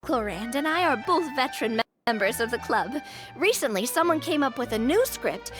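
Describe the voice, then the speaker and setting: A woman playing a bubbly, excitable young woman in an anime-style game, playful, confident and gushing. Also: high-pitched, moderate pace. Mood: friendly, chatty. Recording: music playing behind the voice.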